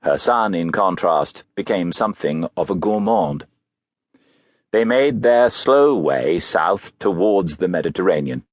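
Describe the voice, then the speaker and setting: A man narrating a biography audiobook in a measured, literary storytelling manner. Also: low voice, lively intonation, dry recording.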